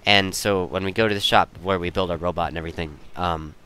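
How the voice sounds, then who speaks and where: A man's voice: deep voice, steady tone, quick pace, sharp tone, clean tone. A young man telling personal stories in a casual, rambling way, often interrupting himself to second-guess his wording. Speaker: storyteller.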